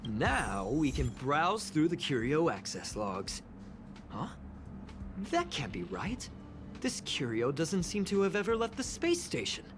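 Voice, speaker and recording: An actor playing an excitable young space station investigator who puzzles aloud in scripted game dialogue. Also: mid-range voice, measured pace, noisy background. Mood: confused. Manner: animated, pondering aloud. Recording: background music under dialogue.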